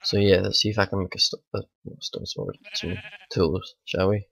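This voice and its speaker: Gravelly man with a cold. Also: low voice, moderate pace, a bit bright. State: hoarse with a bad cold. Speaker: gaming channel host. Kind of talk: casual let's play commentary.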